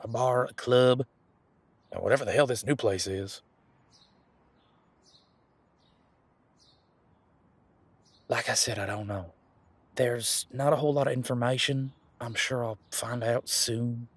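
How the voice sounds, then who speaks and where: A man's voice: medium pitch, no room sound, close to an everyday voice. A man playing an easygoing, protective werewolf in an audio roleplay, talking in an informal, frank and chatty way. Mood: casual, frank. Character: easygoing, protective male werewolf, imposing but responsible. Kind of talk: casual conversational audio roleplay monologue.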